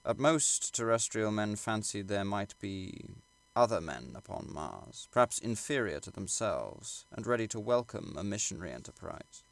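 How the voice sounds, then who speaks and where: A man reading literary prose aloud as an audiobook narrator, in a measured, formal and dramatic way. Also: on the low side, animated, creaky, dry recording. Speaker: audiobook reader.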